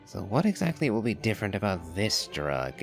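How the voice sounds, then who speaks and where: A man giving wry, measured, articulate commentary on a game's story. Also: lowish voice, very animated. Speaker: gamer, channel host. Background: game music.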